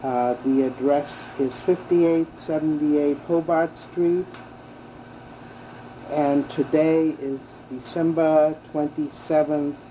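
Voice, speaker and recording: A woman conducting an oral history interview, reading the formal opening details in a measured way and asking plain questions. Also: extremely low pitch, warm tone. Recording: dull lo-fi tape with faint hum.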